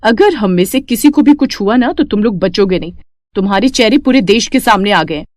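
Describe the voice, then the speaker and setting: A woman voicing a bold girl who speaks loudly and defiantly in a cartoon story. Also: mid-range voice, animated, no room sound, studio quality, lightly acted. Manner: loud, rapid, threatening.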